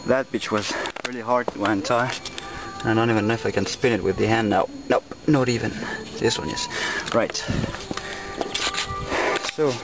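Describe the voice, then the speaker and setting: A man's voice: on the low side, steady tone, normal speed. A man with a French accent, a native French speaker, narrates a casual, unscripted car vlog outdoors in a hesitant, candid way, swearing casually. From Switzerland. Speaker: amateur car enthusiast filming own video. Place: outdoors beside a parked car. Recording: noisy amateur recording with car sounds. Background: loud car engine and ambient noise.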